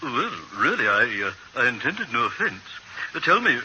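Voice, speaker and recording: An actor playing a fussy, fawning small-town gossip, hesitant and ingratiating, in 1940s radio style. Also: male voice, medium pitch, very animated, normal speed. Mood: fawning, flustered. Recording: bright, noisy old radio recording.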